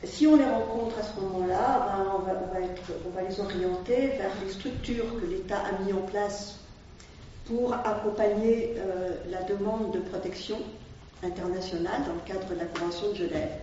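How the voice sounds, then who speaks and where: A woman's voice: mid-range voice, normal speed, mellow. A woman explaining the work of an asylum aid group, speaking hesitantly with many fillers and restarts. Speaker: volunteer at an asylum-seeker aid association. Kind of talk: explanatory talk to an audience. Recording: distant, echoey, dull room sound with faint noise.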